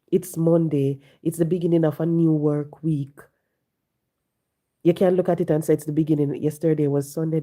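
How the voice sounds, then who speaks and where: A woman, hoarse and congested from the flu, talking on a casual live stream, preaching and repeating her points to viewers from home. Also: low-pitched, warm tone. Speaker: livestream host. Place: home, sitting.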